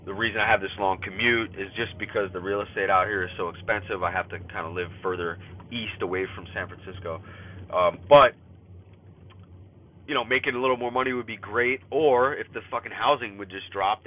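A man's voice: fairly low pitch, fairly quick. A man in his thirties with a stuffy cold, hosting a podcast and talking through a casual spoken journal in a rambling way, full of fillers and restarts, while he drives. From California. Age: 30s. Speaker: podcast host. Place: in a car while driving. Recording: phone-like line, faint car noise. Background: car road noise.

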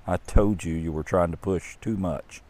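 Skeptical storybook angel, female, gentle; a man reads her with a very low voice. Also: normal speed. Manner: earnest storybook reading.

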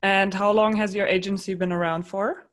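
A woman's voice: medium pitch, steady tone, moderate pace. A woman hosting an interview show, introducing her guest and asking prepared questions.

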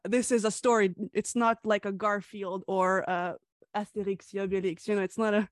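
A woman's voice: mid-range voice, fairly even intonation, normal speed, no echo, clean studio sound. A young woman from Hungary, a comic creator answering interview questions in a chatty way with frequent fillers and laughs.

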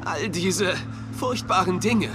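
An actor playing an eccentric, overconfident detective in a dubbed TV comedy, theatrical and rambling, with dramatic pauses. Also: male voice, sits high, over music. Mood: earnest, troubled. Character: eccentric, excitable, overconfident male holistic detective. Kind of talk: German-dubbed TV comedy acting.

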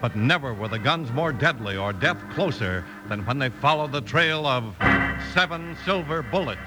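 A man's voice: medium pitch, expressive, normal speed, poor audio. An American man announcing and narrating an old-time radio western in a dramatic, emphatic style. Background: loud music.

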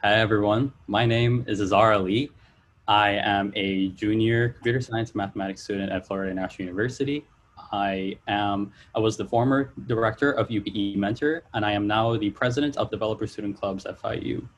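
A man's voice: on the low side, steady tone, brisk. A young man born in Trinidad, a student guest talking earnestly on a video call from home, often restarting his sentences. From Trinidad and Tobago. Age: young adult. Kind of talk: online panel Q&A.

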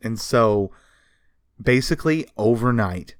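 Guy podcast host explaining history casually, emphatic, medium pitch, really dry sound no room. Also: lively intonation, slow, dry recording. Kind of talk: casual storytelling of comics history.

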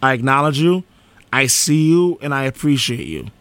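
A man's voice: medium pitch, big pitch swings, moderate pace, a bit bright, no echo. A man hosting a live college radio show, speaking earnestly with a sore, cracking voice. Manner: earnest, heartfelt thank-yous and station promos. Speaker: radio host. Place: radio studio. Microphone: studio microphone.

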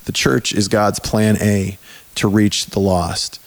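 Hoarse-voiced man hosting a podcast. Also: fairly low pitch, level tone, takes it slowly. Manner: loose and chatty, restarts sentences. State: hoarse, voice mostly gone. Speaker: podcast host. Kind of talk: casual podcast chat.

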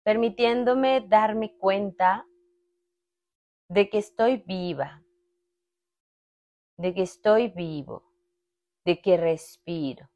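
A woman narrating a guided meditation in a calm, instructional manner with long pauses between short phrases. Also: medium pitch, muffled recording. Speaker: meditation guide, podcast host.

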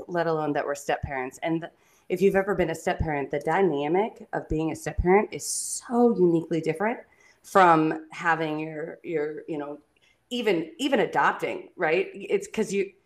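A woman guest and licensed clinical social worker, with a deep booming voice, talking candidly and bluntly. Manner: candid, laughs loudly, blunt. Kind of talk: casual podcast conversation.

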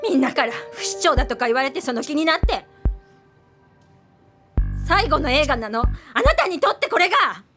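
An actress playing a director's scornful screenwriter daughter in a radio drama, with a biting, confrontational, scolding manner. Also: female voice, sits high.

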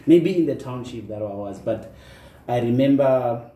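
A man's voice: medium pitch, lively intonation, measured pace, warm tone. A man in his thirties with a South African accent, talking casually and reflectively as an interview guest. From rural South Africa. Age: 30s. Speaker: podcast guest. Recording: roomy echo, distant mic, faint noise.

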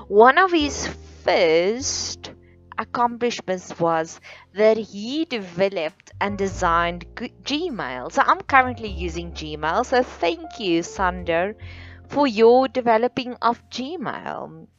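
A woman from South Africa hosts her own podcast in English with an Afrikaans accent. She speaks very slowly and deliberately, sometimes restarting her phrases. The recording sounds a little dull, with faint music underneath. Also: medium pitch. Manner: slow, careful, halting delivery with restarts. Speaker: podcast host. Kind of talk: solo podcast monologue.

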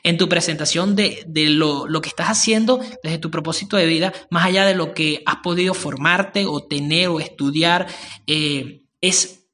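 Bright-voiced halting male podcast host. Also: fairly high pitch, steady tone. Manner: warm, rambling and halting with frequent fillers. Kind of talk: casual podcast interview.

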